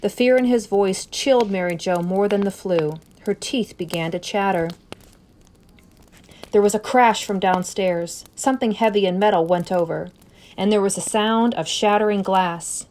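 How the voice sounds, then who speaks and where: A woman reading a scary story aloud as narrator, expressive and suspenseful, with deliberate phrasing. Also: mid-range voice, slight background noise. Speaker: story reader.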